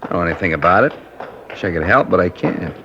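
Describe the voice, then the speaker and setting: An actor playing a defensive, irritable suspect who answers curtly and sarcastically in a 1950s radio drama. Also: male voice, low voice, animated, fast, bright, forceful tone, slight background noise. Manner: curt, sarcastic, evasive. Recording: dull lo-fi 1950s radio recording.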